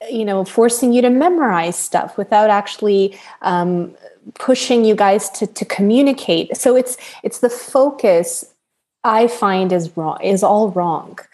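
A woman's voice: mid-range voice, a little slow, a little mellow. A woman, a business English trainer, answering interview questions in a chatty way with frequent fillers.

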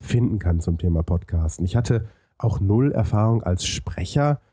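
A man's voice: sits low, expressive, normal speed, mellow, slightly rough, no room sound. A man with a lisp telling his story as a podcast interview guest, explaining point by point with frequent hesitations.

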